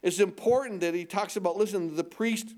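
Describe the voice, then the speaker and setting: Nasal, high-pitched male pastor; casual preaching style that leans on questions to the congregation. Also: a little fast, darkish tone. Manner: conversational preaching with rhetorical questions. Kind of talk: sermon.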